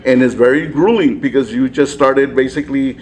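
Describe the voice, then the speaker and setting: A man's voice: mid-range voice, fairly quick, darkish tone, slight background noise, low-quality recording. A man hosting a casual sports interview, upbeat and chatty, with frequent laughs and a South Texas accent.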